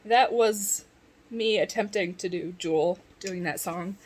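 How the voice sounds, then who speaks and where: Congested woman, slow rambling vlog chat. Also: medium pitch, a little hiss or hum. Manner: rambling, laughs often. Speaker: vlogger. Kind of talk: casual chat. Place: at home, in bed.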